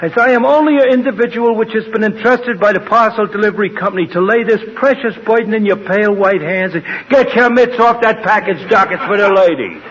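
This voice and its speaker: A man's voice: sits high, brisk, few pauses, a bit bright. An actor playing a cocky young delivery man who mixes pompous, flowery speech with slang, in 1940s radio comedy style. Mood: officious, pompous. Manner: pompous mock-formal speech mixed with slang. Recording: dull, noisy 1940s radio recording.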